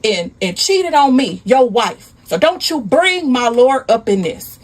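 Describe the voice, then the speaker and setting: A woman voicing a betrayed, furious wife who confronts her cheating husband in a loud, accusing, indignant manner, in a spoken story. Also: fairly high pitch, normal speed, far from the mic, natural voice.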